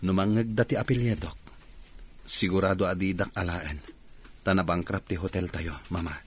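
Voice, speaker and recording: Low-voiced Ilocano young man, proud but humbled. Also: steady tone, normal speed, husky. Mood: troubled. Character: proud young man humbly seeking work, deferential son. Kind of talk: Ilocano radio drama acting.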